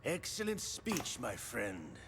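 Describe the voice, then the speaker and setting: An actor playing a sly, mocking older male villain in a video game, with a gravelly voice and a theatrical, taunting delivery. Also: medium pitch, huge pitch swings, takes it slowly, noisy background, exaggerated voice. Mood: mocking menace.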